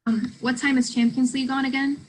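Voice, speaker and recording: High-voiced young student played by a woman, slangy. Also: slight background noise, rough recording. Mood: playful. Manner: casual, slangy, rambling, sweary. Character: young South American woman student, blunt, playfully crude. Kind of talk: play reading, naturalistic conversational acting.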